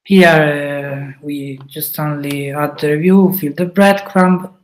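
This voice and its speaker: A man with an Italian accent, a native Italian speaker, hosting a webinar and walking through a coding project, pausing often to think aloud. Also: a little high, fairly even intonation. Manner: halting, thinks aloud with fillers. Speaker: webinar presenter. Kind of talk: live coding walkthrough.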